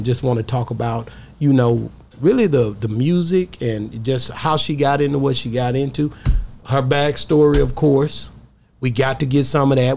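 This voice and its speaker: A man's voice: mid-range voice, a little mellow. A middle-aged man hosting a podcast in casual, slangy, hyped-up talk, close on a studio mic. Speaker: podcast host. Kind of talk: casual hype-filled interview chat. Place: small indoor podcast studio. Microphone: close podcast mic on stand. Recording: faint background noise.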